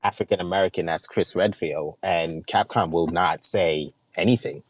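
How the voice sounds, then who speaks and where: A man co-hosting a podcast, making his point casually but deliberately, repeating phrases for emphasis. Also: low voice, dry recording. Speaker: podcast co-host. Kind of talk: casual panel discussion.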